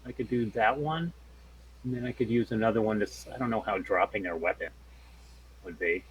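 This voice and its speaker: A man's voice: lowish voice, fairly quick, slight background noise, rough recording. A man playing a tabletop game, chatting casually as he works out his moves.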